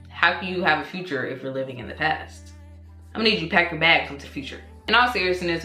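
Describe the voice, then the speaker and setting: A woman in her twenties talking to camera, giving candid big-sister advice in a casual, animated way, with slang and the odd swear. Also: deep voice. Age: 20s. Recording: echoey room with faint music.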